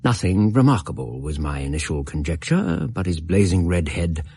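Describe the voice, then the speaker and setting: Low husky English gentleman narrator. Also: sing-song, dry recording, exaggerated voice. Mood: wry, appraising. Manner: measured, formal, literary. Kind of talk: dramatised first-person narration.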